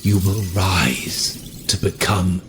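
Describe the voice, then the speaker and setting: Deep, slow, commanding family patriarch. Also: male voice, background noise. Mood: solemn, approving. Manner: solemn, commanding. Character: proud, iron-willed patriarch of a powerful family. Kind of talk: video game voice acting. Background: music.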